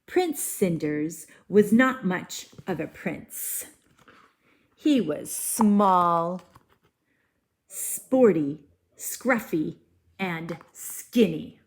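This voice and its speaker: A woman teacher reading a storybook aloud to young children in an expressive, deliberate way, with simple asides. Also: on the low side. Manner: deliberate, animated, simple child-directed phrasing.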